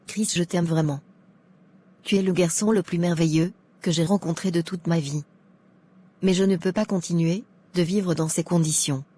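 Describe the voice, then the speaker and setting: A woman playing a discontented, regretful but resolute young rich girl in an animated drama. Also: lowish voice, moderate pace, close to an everyday voice. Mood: sad, resolute.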